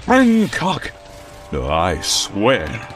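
A man's voice: on the low side, wildly swooping pitch, extremely slow, fairly bright tone, soft background music, full character voice. A man playing a grandiose, righteous caped vigilante in old-time radio drama style, with pompous, melodramatic proclamations. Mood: righteous fury.

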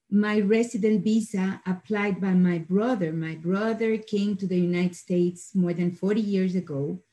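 A woman from Ecuador, telling her story in an interview in a halting, self-correcting way. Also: medium pitch, warm tone. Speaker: guest, financial education instructor.

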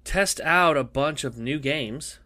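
A man's voice: on the high side, takes it slowly, sharp tone, no echo. A male podcast host with a cough, chatting casually in a rambling, jokey way that keeps repeating itself. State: coughing, losing his voice.

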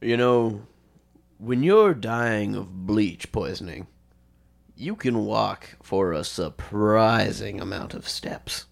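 A man riffing in deadpan improvised comedy banter on a podcast. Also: fairly low pitch, slow, gravelly. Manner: deadpan with sudden spooky jokes. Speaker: podcast guest.

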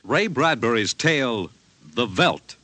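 A man's voice: medium pitch, big pitch swings, slow, exaggerated voice. A man playing a grand, dramatic science fiction announcer with a declamatory delivery in 1950s radio style. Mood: grand, portentous. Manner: portentous, declamatory. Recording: vintage radio, loud music behind.

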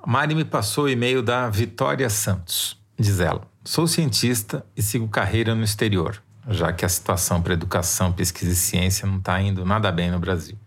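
A man's voice: fairly low pitch, a little fast, hardly any pauses. A Brazilian man co-hosting a podcast from home, reading a listener email with dry, wry humor. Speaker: podcast co-host. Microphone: remote home mic.

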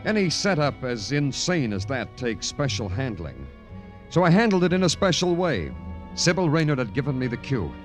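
Guy doing old radio noir detective, deadpan and clipped but lots of pitch movement, music under it. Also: moderate pace, natural voice. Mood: brooding narration. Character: wry, hard-boiled male Broadway police detective. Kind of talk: 1940s-50s radio noir acting and narration. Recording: vintage radio drama with music.

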